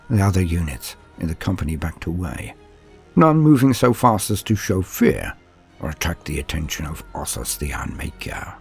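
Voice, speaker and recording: A man with a gravelly, husky voice telling dark science fiction tales in a grave, theatrical storytelling style. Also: low voice. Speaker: narrator. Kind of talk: dramatic scripted dark sci-fi storytelling. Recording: music bed under narration.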